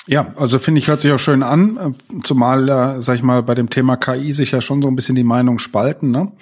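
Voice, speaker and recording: Man, husky voice, casual podcast chat, fillers, tag questions. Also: mid-range voice, fairly quick, darkish tone.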